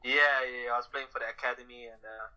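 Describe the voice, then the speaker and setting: A man's voice: medium pitch, steady tone, quick pace, a crowd in the background. A man from Sweden, a guest on a podcast, talking casually over a phone call with many hesitations. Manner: hesitant, lots of uhs and restarts. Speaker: podcast guest, professional soccer player. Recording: muffled phone line.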